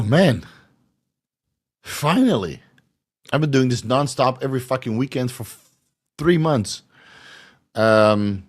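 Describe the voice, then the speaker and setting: A man hosting a casual question-and-answer show, blunt and profane, his voice going hoarse. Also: medium pitch. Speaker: podcast host answering viewer questions. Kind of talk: casual live Q&A.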